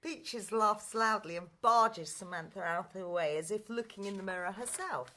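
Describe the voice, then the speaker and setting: A woman's voice: medium pitch, normal speed, pushed and bright, a little hiss or hum. A woman reading scripted stage directions as the narrator of a radio play.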